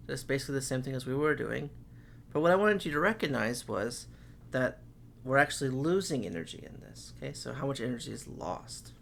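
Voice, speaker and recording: A man's voice: mid-range voice, lively intonation, brisk, vocal fry, a bit bright, slight background noise. A man teaching chemistry, working through problems step by step and saying okay often, while losing his voice. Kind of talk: recorded classroom lecture.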